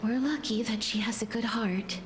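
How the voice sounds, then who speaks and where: An actress playing a gentle, reserved young noblewoman who speaks formally in a video game. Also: fairly high pitch, steady tone, normal speed, natural voice. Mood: reserved, sincere. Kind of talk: scripted video game dialogue.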